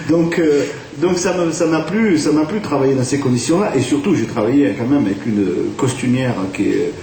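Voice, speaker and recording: A man's voice: fairly high pitch, normal speed, darkish tone. A man from France, a theatre director, talking in a radio interview with many fillers and restarts. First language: French. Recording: distant, echoey room with faint hiss.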